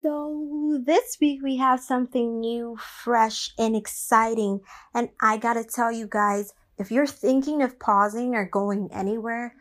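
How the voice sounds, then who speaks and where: A woman's voice: on the high side, steady tone, a little slow. A young woman chatting informally and peppily to her listeners as a podcast host. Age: young adult.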